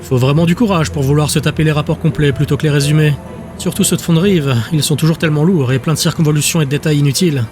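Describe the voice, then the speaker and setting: A man's voice: on the high side, steady tone, quick pace, darkish tone, soft background music, close to an everyday voice. A man voicing a teasing, easygoing, talkative colleague in an audio drama.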